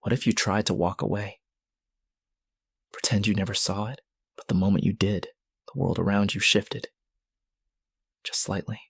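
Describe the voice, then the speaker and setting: A man narrating a scripted suspense story in a brooding, deliberate manner, with short dramatic phrases. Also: sits low, gravelly, breathy edge, no room sound, clean studio sound.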